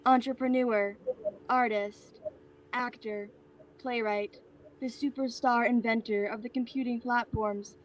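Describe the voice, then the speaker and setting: An obedient assistant, played by a woman, recites in a formal, flat tone with little rise or fall. The voice is fairly high, with light noise on the online recording of a staged reading. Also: unhurried, lightly acted. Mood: dutiful reciting. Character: Soren, an obedient assistant reciting an employer's biography. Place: remote virtual reading. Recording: noisy online video call audio.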